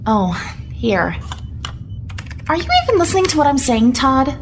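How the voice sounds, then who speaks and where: A woman's voice: sits high, sing-song, moderate pace, noisy background. A woman playing a restless, impatient spaceship crew member in an audio drama, whiny and insistent. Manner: complaining, insistent. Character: restless, whining young female crew member, eager and impatient. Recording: loud music bed under the voice.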